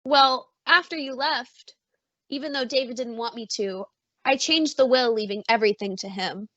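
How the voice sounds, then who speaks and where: A fond, coaxing middle-aged mother as a woman acts her in an old-time radio play. Her voice is high and bright, with gentle persuasion, recorded dry and slightly dull. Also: normal speed, no room sound. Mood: earnest, coaxing.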